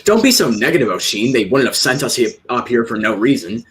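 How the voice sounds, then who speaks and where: An actor with an American accent playing a friendly, warm eighteen-year-old country lad in a script reading. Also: male voice, mid-range voice, very animated, fairly quick. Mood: friendly, chiding.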